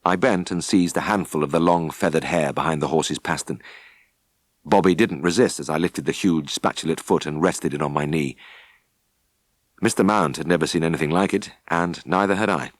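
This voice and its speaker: A British man narrating a first-person story for an audiobook with expressive, scripted delivery. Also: extremely low pitch, normal speed, dry recording.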